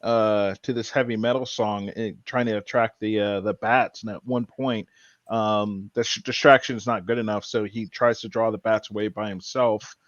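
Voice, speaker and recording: A man co-hosting a podcast, casually recounting a show in an earnest, halting way. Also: fairly low pitch, fairly even intonation, dry recording, dull audio. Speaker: podcast co-host.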